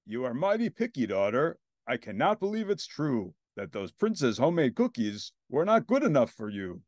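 A man's voice: medium pitch, sing-song, normal speed, a little mellow, no room sound, clean studio sound. A man voicing a friendly old king, an exasperated doting father pleading in a regal manner in a storybook.

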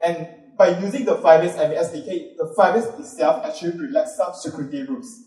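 A Malaysian man in his thirties giving an upbeat conference talk and quizzing the audience with quick questions. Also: a little high, mellow. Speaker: conference speaker. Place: large dark auditorium with a stage. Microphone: handheld mic. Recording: roomy hall sound from a distant camera.

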